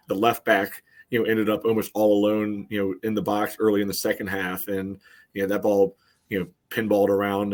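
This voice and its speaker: Low, even-toned male sports commentator. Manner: peppers talk with "you know". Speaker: soccer podcast co-host. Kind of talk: casual match analysis.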